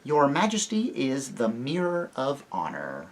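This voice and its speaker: A storybook narrator voicing a small, proud mouse knight with a shrill voice and courtly, formal manner. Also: huge pitch swings, measured pace, gravelly, heavily acted. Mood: courtly, touchy.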